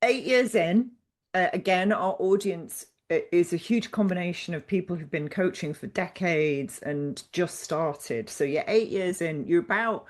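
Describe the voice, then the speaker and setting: A woman's voice: on the low side, measured pace. A woman from Manchester with an English accent, hosting a podcast in an encouraging chatty way and echoing her own phrases. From Manchester, England. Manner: warm, encouraging, repeats phrases. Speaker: podcast host. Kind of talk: casual interview chat.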